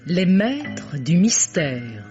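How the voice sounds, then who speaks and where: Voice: medium pitch, big pitch swings, drawn-out words, muffled recording. A radio announcer formally reading a scripted programme introduction and credits on a vintage recording. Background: music.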